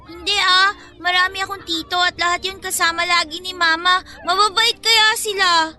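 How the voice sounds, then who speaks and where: A woman's voice: very high-pitched, steady tone, normal speed, sharp tone, full character voice. A woman playing an innocent, polite young Filipino schoolgirl with a childlike voice in an audio drama. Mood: defensive. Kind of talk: acted audio drama dialogue.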